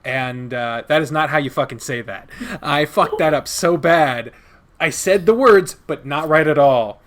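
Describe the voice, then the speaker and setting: A man with a Midwestern American accent hosting a casual podcast chat, swearing easily and pausing often on "uh". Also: on the high side. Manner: casual, swears, many "uh" pauses.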